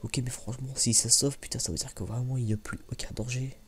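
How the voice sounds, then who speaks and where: A soft-spoken man gives casual ASMR-style commentary, halting and rambling, repeating words and using slang. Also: mid-range voice, fairly even intonation, husky. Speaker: ASMR video creator.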